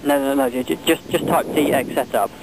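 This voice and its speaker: A man's voice: on the high side, fairly quick. A man working as a technical support agent, patiently explaining steps over the phone and placating the caller. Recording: noisy telephone call recording.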